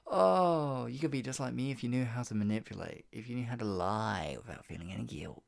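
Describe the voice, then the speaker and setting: An actor playing a sadistic, gloating yandere in an ASMR roleplay, with a breathy, husky voice that often drops to a whisper. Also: medium pitch, natural voice. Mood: mocking glee. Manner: menacing, slow deliberate phrasing with sighs. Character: sadistic, gloating, murderous yandere obsessive taunting a captive. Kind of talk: improvised ASMR roleplay, intimate monologue.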